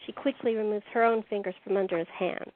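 A woman's voice: medium pitch, steady tone, quick pace, slight background noise. A woman narrating stage directions as the host of a radio play series, reading from a script. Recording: echoey room, tinny phone-like sound.

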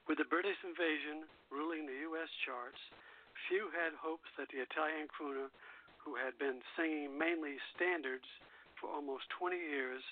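Phone-like, higher-pitched male radio host. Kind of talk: music history talk between songs. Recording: narrow phone-like radio line.

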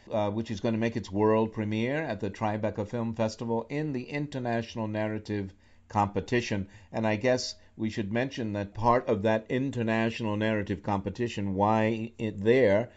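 Brief: rambling talk radio host, man, a bit low, muffled lo-fi sound. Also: a little mellow. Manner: rambling, thoughtful, many restarts. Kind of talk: radio interview, conversational questions. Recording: slightly dull lo-fi radio sound.

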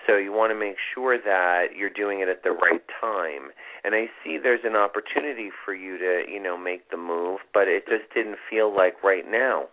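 Low-voiced man hosting a radio call-in. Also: normal speed. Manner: reassuring, conversational, says "you know" often. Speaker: astrologer radio host. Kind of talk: call-in astrology readings. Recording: tinny phone-quality radio broadcast.

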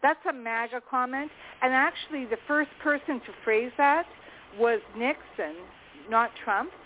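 A woman's voice: high voice, a little slow, a little hiss or hum. Canadian woman on a tinny call. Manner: hesitant, many ums and restarts. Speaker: podcast panelist. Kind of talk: casual group chat. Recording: phone-like call audio.

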